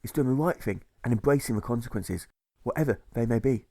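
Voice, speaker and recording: A man voicing a serene, wise boy in a storybook fable, with calm, instructive phrasing. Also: medium pitch, a little fast, lightly acted.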